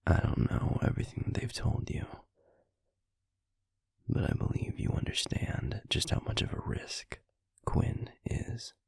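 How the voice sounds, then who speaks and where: A man playing a stern, guarded werewolf pack alpha in an audio roleplay, speaking formally and deliberately in a gravelly, husky voice. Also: no room sound, in a whisper, studio quality, natural voice. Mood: grave, measured. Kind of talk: scripted audio roleplay acting.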